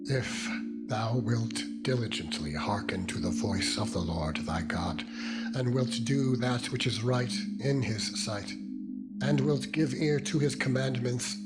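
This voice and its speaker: A man giving a solemn, dramatized scripture reading in a measured, declamatory manner that lifts toward proclamation. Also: medium pitch. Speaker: narrator, Bible reader. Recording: loud meditative music with singing-bowl tones behind. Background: loud music, ringing singing bowl tones.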